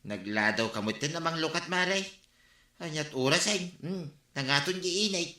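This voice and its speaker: An actor playing an idle, wheedling husband who gossips, in an Ilocano radio comedy drama with an animated, exclamatory delivery. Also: male voice, fairly high pitch, moderate pace, roomy sound. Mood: grumbling.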